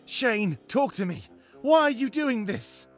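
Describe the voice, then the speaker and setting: A man voicing an earnest, emotional male animal-folk warrior in a visual novel game, halting and heartfelt. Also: very high voice, sing-song, big-room sound, voice pushed up, full character voice. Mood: pleading. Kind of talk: acted dialogue read in a let's play. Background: background music.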